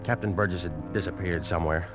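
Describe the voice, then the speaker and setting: An actor playing a frightened, weakened ship's officer, grave and urgent, in an old radio suspense drama. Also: male voice, low-pitched, quick pace, music in the background, natural voice. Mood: tense, haunted. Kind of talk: 1940s radio drama acting and narration.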